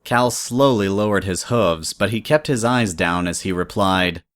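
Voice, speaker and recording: A woman's voice: rumbling low, a little slow. A woman reading scripted third-person story narration as the narrator.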